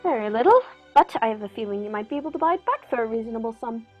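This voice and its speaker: A woman playing a courteous, shrewd gentleman detective in a formal, measured radio-play manner. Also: on the high side, lively intonation, breathy edge, faint music behind. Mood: knowing. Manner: polite, measured, formal, knowing. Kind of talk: 1940s radio play acting.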